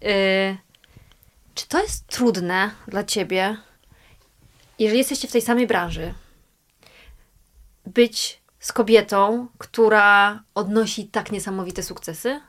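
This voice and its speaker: A woman interviewer asking thoughtful, winding questions in a relaxed conversation. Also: medium pitch, slow, pauses often.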